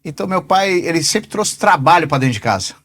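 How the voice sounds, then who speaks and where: A man in his forties talks through his answers as a podcast guest, taking his time and leaning on small filler pauses. His voice sits a little higher than most men's. The delivery is relaxed and conversational. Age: 40s. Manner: unhurried, often pausing on fillers. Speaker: podcast guest, company sales director. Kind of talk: casual interview answers.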